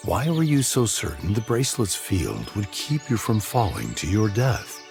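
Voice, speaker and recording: A man's voice: lowish voice, huge pitch swings, normal speed, creaky, full character voice. An actor playing a curious male ally in a fantasy video game cutscene. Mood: puzzled. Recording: loud music behind the voice.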